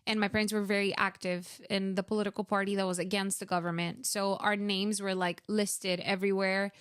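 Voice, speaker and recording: Young woman, smooth clear voice, dry close sound, chatty with lots of fillers. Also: medium pitch, flat delivery, a little fast, no echo. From Venezuela. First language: Spanish. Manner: chatty, many fillers, acts out her own past thoughts. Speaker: guest. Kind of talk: casual interview storytelling.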